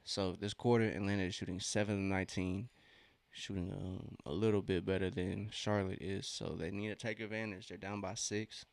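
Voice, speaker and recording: A man's voice: deep voice, flat delivery, moderate pace, vocal fry, no room sound. A thirty-year-old man hosting a sports watch-along stream, chatting casually in a laid-back, slangy way between bits of commentary. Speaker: livestream watch-along host.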